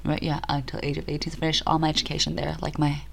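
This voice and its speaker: A woman's voice: sits low, monotone, rapid-fire, gravelly. A young woman raised in Asia, co-hosting a casual chat, rambling and giggling often. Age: young adult. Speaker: co-host.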